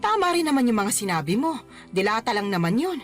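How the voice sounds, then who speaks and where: A lively, swooping female voice plays a middle-aged mother in a radio drama. She is exclamatory, scolding and fond at once. Faint music sits behind her. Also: fairly high pitch, brisk. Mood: disapproving. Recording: music under the voice.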